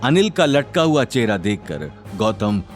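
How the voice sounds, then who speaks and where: Dramatic male storyteller, animated delivery. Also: lowish voice, a little slow, soft background music. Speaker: narrator. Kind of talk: dramatic scripted Hindi story narration.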